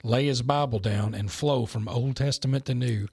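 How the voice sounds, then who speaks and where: A man's voice: medium pitch, moderate pace, vocal fry, a little mellow, husky, dry recording. A man co-hosting a church podcast, tired and wry, with dry jokes. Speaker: podcast co-host. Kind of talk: casual church podcast chat.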